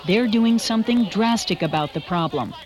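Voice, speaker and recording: A woman narrating a short documentary in a measured, earnest voice-over. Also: mid-range voice, animated, some chatter behind. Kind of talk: scripted narration. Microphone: studio voice-over.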